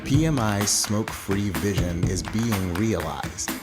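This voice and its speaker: A man reading a polished, scripted corporate promotional narration in an even delivery. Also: on the low side, slow pace. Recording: voice-over over loud music. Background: loud music bed.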